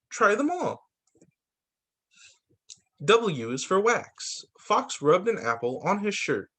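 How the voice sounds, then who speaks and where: A man who is a teacher reading a children's picture book aloud in an expressive, sing-song storytelling way. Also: fairly high pitch, breathy edge. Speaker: teacher reading to young students.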